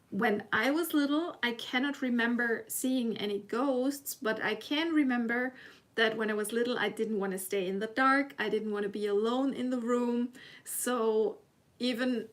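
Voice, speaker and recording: A woman whose first language is German, speaking English with a German accent, hosting a casual live stream and chatting with her viewers. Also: fairly high pitch. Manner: chatty, self-laughing, reads chat aloud.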